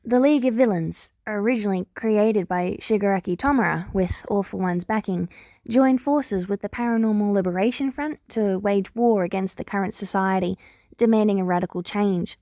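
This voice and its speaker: A woman's voice: mid-range voice, normal speed, warm tone, no echo, lightly acted. A woman voicing an earnest, wounded young heroine from the future in a story, speaking firmly and a little wryly. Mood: grave, factual. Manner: prompt, firm, wry.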